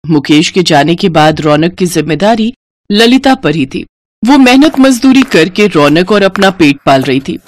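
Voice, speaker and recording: A woman narrating a scripted moral story in an expressive storytelling manner. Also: lowish voice, normal speed, slight background noise.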